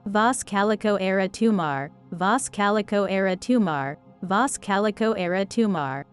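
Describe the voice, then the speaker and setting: A man's voice: high-pitched, moderate pace, clean tone, pitched above the performer's own voice, natural voice. A man voicing an eerie eighty-year-old woman chanting gibberish in a trancelike, repetitive way, with music behind.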